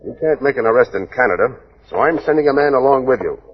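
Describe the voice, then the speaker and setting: A dutiful Mountie sergeant in a vintage radio drama. His voice is slightly rough and husky, with clipped, formal military delivery. The sound is dull, old broadcast audio. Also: mid-range voice, expressive, fast talker. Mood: firm. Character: firm, dutiful Canadian Mounted Police sergeant. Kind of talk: 1940s radio acting. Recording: dull, lo-fi 1940s radio recording.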